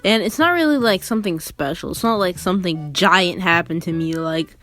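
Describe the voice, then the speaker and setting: A woman's voice: on the low side, a little fast, fairly bright tone. A teenage girl telling a personal story in a casual, rambling, conversational way with lots of filler words. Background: background music.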